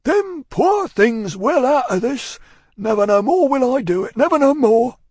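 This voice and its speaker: A man's voice: very high voice, very animated, measured pace, a little mellow, slightly rough, no echo, voice pushed up, full character voice. A man voicing a solemn, repentant working-class London man in a storybook, with a Cockney accent and a ponderous, preachy, emphatic way of talking. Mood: trembling, repentant. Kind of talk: audiobook character acting.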